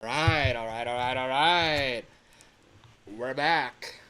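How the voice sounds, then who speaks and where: A man's voice: medium pitch, slow pace, bright, forceful tone. A man chatting casually on a livestream as he shows a game he is making, thinking aloud and repeating his phrases. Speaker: livestream host and game developer. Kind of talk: casual livestream chat while demonstrating a game in development. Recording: clear background noise with clicking.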